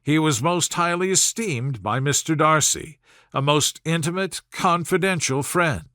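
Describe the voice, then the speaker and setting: A man voicing an aggrieved young Regency gentleman in a storybook, smooth-talking and formal, with measured, wounded dignity. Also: on the high side, dry recording, clean studio sound. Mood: confiding, resentful. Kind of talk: storybook character voice in audiobook reading.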